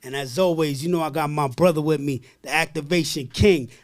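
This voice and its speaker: A man hosting a podcast, talking in energetic streetwise slang and narrating a guest's story. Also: on the high side, fairly quick, soft background music. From United States. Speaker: podcast host. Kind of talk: hype podcast intro and narrated guest bio.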